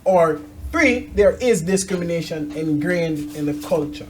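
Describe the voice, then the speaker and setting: A man hosting a conversational discussion, probing and measured, building his points in short phrases. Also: on the high side, expressive. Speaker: interviewer, host.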